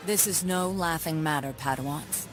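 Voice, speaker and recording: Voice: mid-range voice, moderate pace, loud music behind the voice, close to an everyday voice. An actor playing a serious, cautioning Jedi master in a game cutscene.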